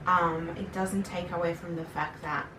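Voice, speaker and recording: A woman's voice: lowish voice, steady tone, normal speed. A young woman appearing as a guest, chatting casually and explaining things at length. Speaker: guest, older sister. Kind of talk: casual chat. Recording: roomy, distant mic, faint noise.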